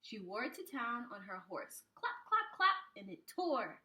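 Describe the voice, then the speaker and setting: A woman reading a picture book aloud to young children like a preschool teacher, with expressive, animated phrasing. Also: a little high, normal speed.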